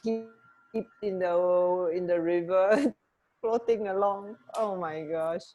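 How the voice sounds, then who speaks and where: A woman with a slightly low voice co-hosts a casual radio chat. She speaks slowly, musing over trivia and repeating words to herself. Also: warm tone, highs cut off. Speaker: radio show co-host.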